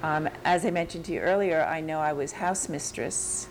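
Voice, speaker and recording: An older American woman with a New York accent, recalling her teaching years in an oral history interview, pausing and restarting as she searches her memory. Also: sits low, a little hiss or hum, low-quality recording. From United States.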